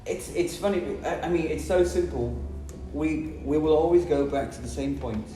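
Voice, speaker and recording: A man's voice: on the high side, normal speed, warm tone. A man from Brazil, an English teacher explaining his points emphatically in short repeated phrases on a podcast. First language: Portuguese. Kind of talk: podcast conversation. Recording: roomy, distant mic, music behind.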